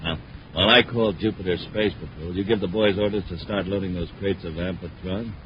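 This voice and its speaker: A man's voice: low-pitched, steady tone, a little fast, lightly acted. An actor playing a smug, scheming male villain, gloating and self-assured, in a 1950s radio serial. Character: smug, scheming male crook who runs a cargo racket. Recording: muffled, noisy 1950s radio recording.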